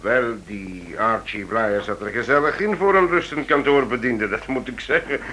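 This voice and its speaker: An actor playing a shrewd, dry, commanding titled police chief in a radio play. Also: male voice, mid-range voice, animated, moderate pace, bright, forceful tone. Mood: dryly curious. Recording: radio play with faint noise.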